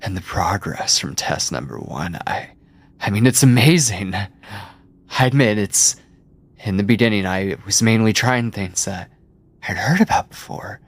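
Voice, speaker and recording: A man playing an obsessive mad scientist captor in a horror ASMR roleplay, coldly doting and clinical, with condescending endearments, theatrical pauses and a breathy, husky voice. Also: lowish voice, animated, full character voice. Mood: gloating, fascinated. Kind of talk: horror ASMR roleplay, intimate monologue to listener.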